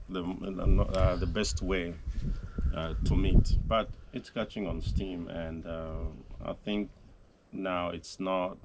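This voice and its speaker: A man's voice: low voice, slight background noise. A man from Zambia, chatting hesitantly with many uh and um fillers. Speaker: guest, host's husband. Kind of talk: casual conversation.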